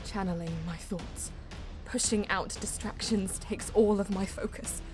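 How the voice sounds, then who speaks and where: An intense, mystical elf seer, acted by a woman, tells her tale in an audio drama. Her delivery is solemn and theatrical, with a driven edge. Music plays clearly behind her medium-pitched voice. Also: steady tone, unhurried, lightly acted. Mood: solemn, focused. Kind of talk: dramatic first-person audio drama narration and dialogue.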